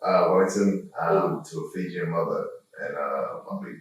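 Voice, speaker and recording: A man's voice: deep voice, moderate pace, gravelly. A man with a New Zealand accent speaking in a casual, laid-back way about his tours. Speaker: interview guest, comedian. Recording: distant, very echoey.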